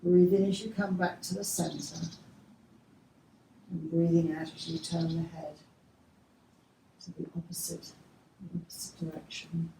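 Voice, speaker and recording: Female yoga teacher, lowish creaky voice, gentle guided-relaxation delivery, recorded in an echoey room. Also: level tone. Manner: gentle, unhurried instructing with soft trailing phrases. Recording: distant mic, echoey room, faint noise.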